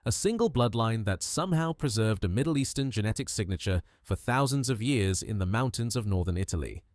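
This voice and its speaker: A man's voice: on the low side, darkish tone, dry recording, clean studio sound. A man giving scripted history documentary narration with dramatic storytelling in punchy short phrases.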